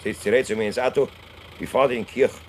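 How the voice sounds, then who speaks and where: An actor playing a gruff, jealous middle-aged farmer father in a Bavarian dialect radio drama, blustering and possessive. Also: medium pitch, steady tone, fast talker, lightly acted. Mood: threatening, jealous. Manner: blustering, domineering. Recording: noisy, idling car engine behind. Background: idling car engine.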